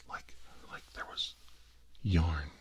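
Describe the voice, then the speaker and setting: A man giving a guided ASMR healing session in a breathy, mostly unvoiced whisper, soothing and hushed, in short phrases with long pauses. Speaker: ASMR reiki healer.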